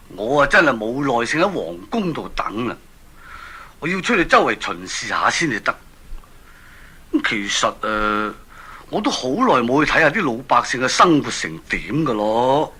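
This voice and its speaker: A man's voice: medium pitch, sing-song, unhurried, sharp tone, husky, heavily acted. A man playing an impatient, self-important king in a Cantonese children's radio drama, with a Hong Kong accent and a theatrical, commanding manner. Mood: restless, impatient.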